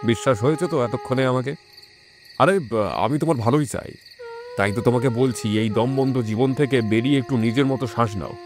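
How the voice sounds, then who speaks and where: A man playing a reassuring, persuasive village schoolmaster with a gentle, earnest manner in an audio drama. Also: mid-range voice, a little fast, faint music behind, natural voice. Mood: coaxing, amused. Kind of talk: dramatised audio horror story acting.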